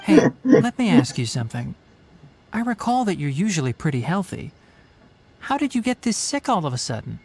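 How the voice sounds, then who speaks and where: A man voicing a brisk, no-nonsense young forest watcher and healer in a video game. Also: sits high, very animated, vocal fry, a little mellow, slightly rough, full character voice. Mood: calm, businesslike.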